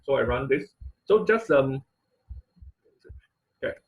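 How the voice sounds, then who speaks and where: A man's voice: mid-range voice, animated, slow pace. A man teaching a live online coding tutorial as instructor, often stopping and rephrasing mid-sentence. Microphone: computer mic on an online class call.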